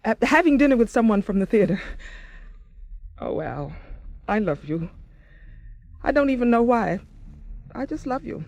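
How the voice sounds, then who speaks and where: A woman's voice: fairly high pitch, sing-song, moderate pace, pauses often, darkish tone, dry recording. An actress in a radio drama playing a conflicted, principled married woman of thirty-eight, intimate and emotionally charged. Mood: tearful relief. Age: 30s. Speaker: lead actress and narrator. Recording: 1980s radio drama, music behind.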